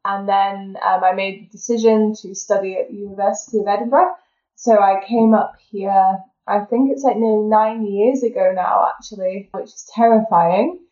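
A young English woman with a neutral accent talks in a relaxed, chatty way, peppering her speech with "kind of" and little laughs. Her voice comes through a roomy space on a slightly dull, lo-fi line. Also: medium pitch, moderate pace. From England. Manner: chatty, laughs often, many fillers like kind of. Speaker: dental therapist and food blogger, guest. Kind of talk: casual interview chat.

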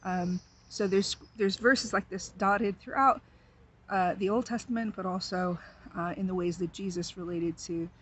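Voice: medium pitch, fairly even intonation, moderate pace, a bit bright, husky. A speaker explains the background of a scripture passage in an earnest way, then reads it aloud with emphasis. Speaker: scripture reader at a service. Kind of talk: introducing and reading scripture.

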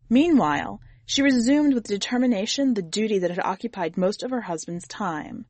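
A woman narrates literary fantasy with a measured, deliberate delivery. Her voice sits at a middle pitch. The recording is very dry, with hardly any room to it. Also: a little mellow, no room sound. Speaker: podcast host and story reader.

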